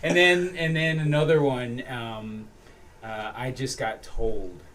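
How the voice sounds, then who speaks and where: A man, an actor being interviewed, telling lively stories in casual chat and laughing often. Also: mid-range voice, takes it slowly, crowd noise. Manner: animated storyteller, laughs often. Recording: slightly distant mic, faint noise.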